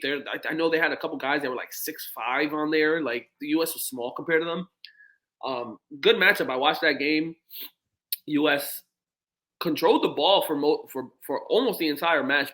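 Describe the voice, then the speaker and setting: Bright-voiced male sports podcaster. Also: fairly high pitch. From United States. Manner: laid-back, swears, rambles with fillers. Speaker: podcast co-host. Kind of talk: casual sports chat.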